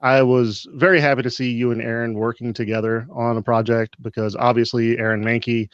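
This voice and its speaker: A man's voice: medium pitch, level tone, a little fast, dry recording. A man hosts a live-streamed interview from a video call. His mid-range voice is upbeat, broken by frequent uhs. The audio is dry and a little muffled. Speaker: host.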